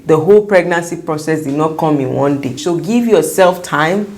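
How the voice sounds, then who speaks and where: A young woman with a Nigerian accent speaks in a low register with plenty of creak. She chats directly to her viewers as a vlogger, offering advice in an emphatic way and repeating words to press a point home. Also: lively intonation, normal speed, a little mellow. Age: young adult. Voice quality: slightly croaky. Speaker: vlogger sharing first-time mom advice. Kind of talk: chatty advice vlog to camera.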